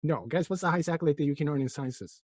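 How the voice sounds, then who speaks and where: A man's voice: a little high, fast talker, darkish tone, dry recording, clean studio sound. A middle-aged man from Turkey lecturing a physics class, joking and throwing rhetorical questions at his students.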